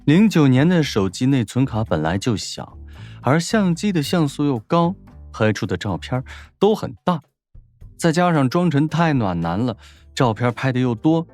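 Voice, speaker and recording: A man's voice: mid-range voice, very animated, normal speed, darkish tone, faint music behind. A man narrating a scripted story as an audiobook narrator, in a dramatic storytelling style.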